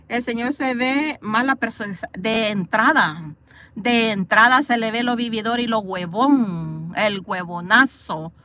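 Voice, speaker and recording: A woman's voice: fairly high pitch, sharp tone. A woman vlogging from a parked car into her phone, bluntly scolding and gossiping as she reads live comments. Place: parked car, outdoors view. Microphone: phone camera.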